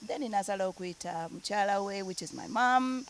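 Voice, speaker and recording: A woman's voice: mid-range voice, brisk, a little hiss or hum. A woman from Uganda who grew up in Denmark, chatting easily as an interview guest and switching between languages. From Uganda, raised in Denmark. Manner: mixes English and Luganda, easygoing, laughs often. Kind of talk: casual interview chat.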